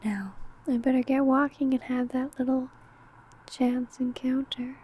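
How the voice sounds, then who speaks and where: A woman playing an obsessive, predatory female vampire who poses as a sweet coworker, in a soft, breathy ASMR roleplay. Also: high voice, steady tone, unhurried. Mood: scheming. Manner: soft, breathy, whispery murmuring.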